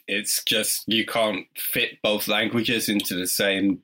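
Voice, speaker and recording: A man co-hosting a casual podcast chat, asking slow curious questions. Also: lowish voice, fairly even intonation, pushed and bright, slight background noise.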